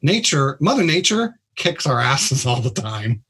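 A man's voice: mid-range voice, sing-song, a little slow, fairly bright tone, breathy edge. A man who is a hospital doctor, explaining things in a plain-spoken, informal way as a guest on a radio talk show. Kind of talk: casual explanatory radio interview.

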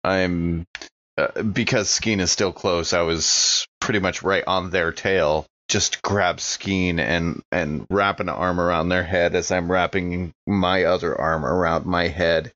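Deep voiced guy at a game table, casual, describing stuff, slightly muffled audio. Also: fairly even intonation, takes it slowly, fairly bright tone. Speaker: player in an actual-play podcast.

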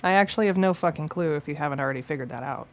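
A woman's voice: low-pitched, very fast, close to an everyday voice. An actress playing a weary, guarded young woman on the run who talks bluntly and swears. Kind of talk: radio play acting.

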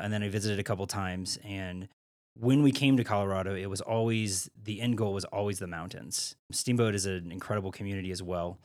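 A man in his forties from the Midwest, talking easily with a fairly low voice. He rambles a little in a friendly, relaxed way. The sound is dry and close, from a small studio. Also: no room sound. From Midwestern United States. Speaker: guest, artist and art teacher. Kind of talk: casual interview chat. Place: small caboose art studio.

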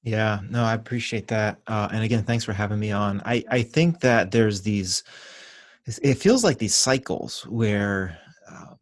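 A man who grew up in Venezuela and Ecuador, a pastor and author speaking as a guest in a podcast interview in an earnest, measured, reflective way. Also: on the low side, no echo, clean studio sound.

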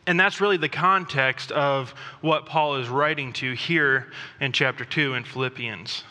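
A man who grew up in Thailand, preaching a sermon in a conversational, self-deprecating way with pauses and restarts. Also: medium pitch, sharp tone. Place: church sanctuary. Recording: long reverb of a church hall.

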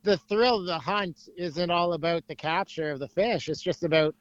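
A man's voice: fairly high pitch, moderate pace, dry recording. A man being interviewed who answers in a dry, thoughtful way in casual chat. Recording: dry, lo-fi sound.

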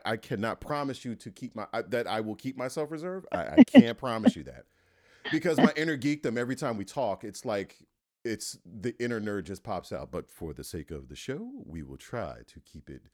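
Excitable low-voiced man hosting a podcast. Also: dry recording, studio quality. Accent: Philadelphia. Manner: excitable, laughs often, slips into goofy voices. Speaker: podcast host. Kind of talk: casual interview chat.

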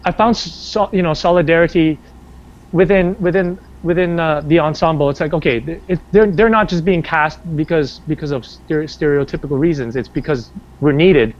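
A man, a working actor, talks through his career in a loose, meandering way, full of "like" and false starts. His voice sits a touch higher than most men's. The sound is muffled and lo-fi. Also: normal speed. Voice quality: bass singing voice. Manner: rambling, filler-heavy, many restarts. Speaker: stage and screen actor, interview guest. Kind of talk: casual interview talk. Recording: dull lo-fi sound.

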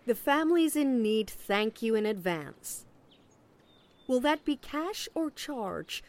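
A woman's voice: a little high, lively intonation, slow. A woman acting in an audio play as an officious agent, politely relentless and salesy, with veiled sarcasm. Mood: briskly officious.

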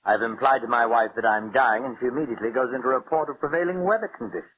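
A man's voice: medium pitch, rapid-fire, slightly rough, natural voice. A man playing a bitter, ailing husband who mocks his wife with pompous sarcasm in 1940s radio acting. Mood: sardonic. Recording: tinny, noisy vintage 1940s radio recording.

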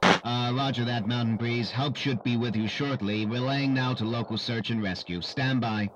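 A man playing a calm, formal control tower operator in an audio drama, heard through a radio filter. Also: medium pitch, moderate pace. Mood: calm, official. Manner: clipped, formal. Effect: crackly aircraft radio filter. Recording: muffled, very noisy radio transmission.

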